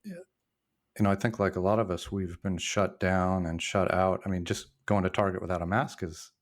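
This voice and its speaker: Deep-voiced man in his sixties. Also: steady tone. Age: 60s. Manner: reflective, halting with frequent restarts. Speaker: podcast guest, former journalist. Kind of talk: casual storytelling interview.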